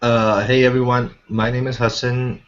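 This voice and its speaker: A man's voice: mid-range voice, level tone, normal speed, highs cut off, faint music behind. Hesitant young man from Pakistan. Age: young adult. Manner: hesitant, many uh fillers. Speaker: student panelist. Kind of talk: casual self-introduction.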